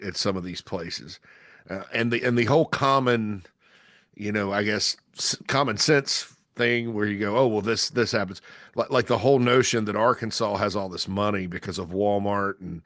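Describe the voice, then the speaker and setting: Man, podcast host, stuffy nose from a cold, low voice, dry close mic. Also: fairly bright tone, no echo. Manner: rambling, with many restarts and fillers. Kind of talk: casual solo podcast talk.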